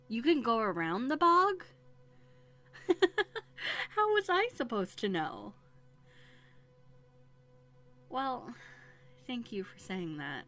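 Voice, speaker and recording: A woman playing a young runaway princess in a roleplay audio drama, playful and plucky, with a conversational, teasing manner. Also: medium pitch. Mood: flustered, amused. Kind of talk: scripted one-sided roleplay audio drama. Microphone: close USB condenser microphone. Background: soft music.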